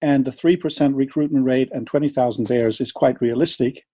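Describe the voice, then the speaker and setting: Danish man arguing in a mellow voice. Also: medium pitch, no echo. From Denmark. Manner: measured, argues step by step with numbers. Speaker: interviewee, wildlife advocate. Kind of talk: earnest explanatory interview talk.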